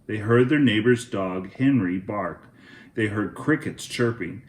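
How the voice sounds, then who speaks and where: A man hosting a children's read-aloud, narrating a storybook in a deliberate, expressive way. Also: lowish voice, roomy sound.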